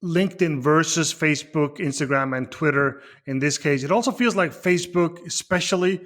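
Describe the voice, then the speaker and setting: A man's voice: fairly high pitch, normal speed, fairly bright tone. A man hosting a startup interview show, introducing the topic and asking questions in an upbeat, presenter-like way. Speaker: show host. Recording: faint music underneath.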